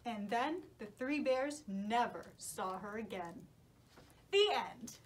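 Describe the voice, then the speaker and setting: High-voiced, animated woman storyteller. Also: takes it slowly, very noisy. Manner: animated, reassuring. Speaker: storyteller and puppet show host. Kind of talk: children's story narration.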